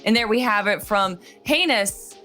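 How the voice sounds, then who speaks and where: A woman hosts a live esports desk segment in a conversational, enthusiastic way. Her voice sits at a medium pitch, and music plays underneath. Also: animated, a little slow, pushed and bright. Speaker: esports broadcast host. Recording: music playing behind the voice.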